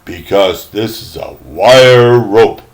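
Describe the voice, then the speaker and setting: Man acting a slow, pedantic old-salt rope splicer. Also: on the low side, pitched below the performer's own voice. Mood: matter-of-fact, precise. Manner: emphatic, deliberate, instructive. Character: roly-poly seaman rope splicer, pedantic, patient, gruff lecturer. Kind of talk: acted storybook dialogue.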